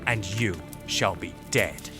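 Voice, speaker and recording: An actor playing a cold, cruel dark wizard villain who taunts and threatens. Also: male voice, fairly low pitch, slow pace. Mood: cold menace. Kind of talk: fantasy film villain acting. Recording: voice under loud music.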